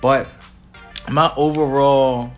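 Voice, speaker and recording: A man's voice: mid-range voice, steady tone, slow pace, soft background music. A man in his thirties, chatting casually as a co-host and rambling with frequent fillers. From United States. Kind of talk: casual chat.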